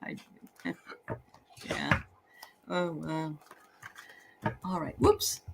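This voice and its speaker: A woman's voice: deep voice, big pitch swings, extremely slow, creaky, background noise. A woman from the Boston area with a Boston accent, hosting a casual livestream tarot reading in a chatty, upbeat way. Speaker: tarot reader and livestream host.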